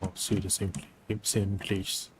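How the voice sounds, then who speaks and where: A man giving casual, somewhat halting commentary while livestreaming a video game. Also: sits low, level tone, background noise. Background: faint game music.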